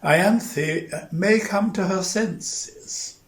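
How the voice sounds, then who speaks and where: Man playing a slow, high-voiced pompous clergyman. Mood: complacent. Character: fussy, genteel older clergyman uncle who loves jelly. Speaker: amateur actor in dramatized reading. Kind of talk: dramatized novel reading. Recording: echoey room sound.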